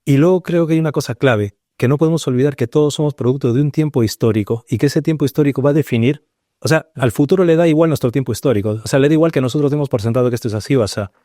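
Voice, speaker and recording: Man from Peru, studio panel guest, mellow dark tone, dry close sound, thinks aloud and hesitates a lot. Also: mid-range voice, no echo. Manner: searching, hesitant, full of restarts and pauses. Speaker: panel guest, paranormal researcher. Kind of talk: reflective round-table discussion.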